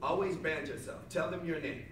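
A man leads a motivational seminar without a microphone, projecting loudly and hammering his points home by repeating them. His voice rings around a hotel conference room and reaches the camera from far off. Steady audience noise sits underneath him. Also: on the high side, moderate pace, roomy sound. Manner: loud, emphatic, repeats key lines to the crowd. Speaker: seminar speaker. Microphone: far camera at back of room, no microphone.

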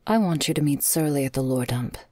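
A woman playing a weary, imperious vampire mistress in a gothic audio roleplay, speaking formally and haltingly with a breathy voice. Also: deep voice, expressive, dark tone, dry recording, studio quality. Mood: measured.

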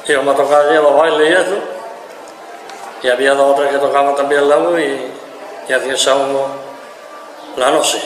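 An older man from Spain, a grandfather, talking about his family and his playing at dances in a halting, repetitive way. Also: mid-range voice, fairly even intonation, a little hiss or hum. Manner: halting, repeating himself, simple phrasing. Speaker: interviewee, amateur musician. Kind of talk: casual recollection to camera. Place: indoor room, seated. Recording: echoey room with music underneath.

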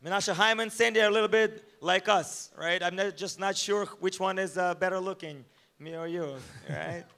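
A man's voice: sits high, steady tone, normal speed, sharp tone. A man emceeing a gala, upbeat and joking as he hypes up the crowd and introduces people on a banquet hall stage. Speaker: master of ceremonies. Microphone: handheld microphone.

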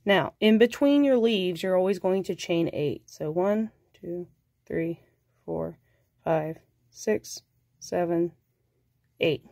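A woman's voice: on the low side, slow, vocal fry, pauses often, no room sound, studio quality. Nasal woman with a cold teaching crochet. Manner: calm, patient, counts stitches aloud. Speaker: crochet instructor. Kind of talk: step-by-step craft tutorial.

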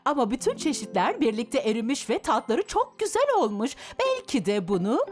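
A woman voicing a gentle, sing-song adult ice cream seller in a children's cartoon dub. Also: fairly high pitch, big pitch swings, moderate pace, faint music behind. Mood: gently admonishing.

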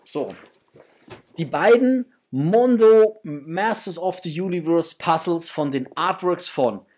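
A man in his thirties hosts a casual unboxing from a room at home. His voice is husky rather than clean, and he talks informally, addressing his viewers directly. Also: fairly high pitch. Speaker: host of a toy collecting channel. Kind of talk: casual chat, unboxing to camera. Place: small room at home, indoors.

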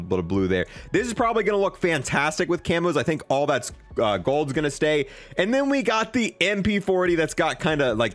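A man reviews video game items in a fairly high voice with swooping, animated pitch. He talks as an enthusiastic host, tossing in casual slang and bro-style remarks. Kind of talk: excited gaming commentary.

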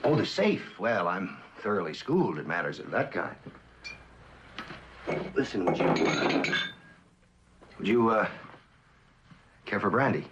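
An actor playing a sly, cynical spy and false friend in a theatrical mid-century TV drama style. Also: male voice, low-pitched, very animated, noisy background. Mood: smug. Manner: theatrical, sly, musing with dramatic pauses and chuckles. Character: suave, cynical spy, a traitorous best friend who loves the game. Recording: dull old television soundtrack.

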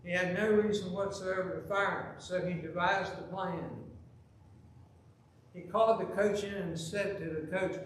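A middle-aged man preaching a sermon from the pulpit, telling a story with lively, emphatic delivery. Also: high voice, moderate pace, a little hiss or hum. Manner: animated, gesturing, rising to loud emphasis. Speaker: pastor. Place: large church sanctuary, at the pulpit. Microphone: pulpit microphone, filmed from far camera. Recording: very echoey church with faint ambience.

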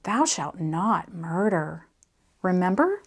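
A woman voicing a concerned, gently firm female Sunday school teacher in a children's story, questioning and gently scolding. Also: on the high side, very animated, normal speed, voice pushed up.

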